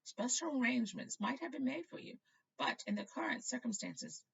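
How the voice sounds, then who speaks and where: A woman's voice: high voice, expressive, normal speed, vocal fry, husky, lo-fi sound, pitched above the performer's own voice, full character voice. A woman voicing a frail, kindly old male wizard headmaster in a feeble, wavering voice for a storybook reading. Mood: kindly concern.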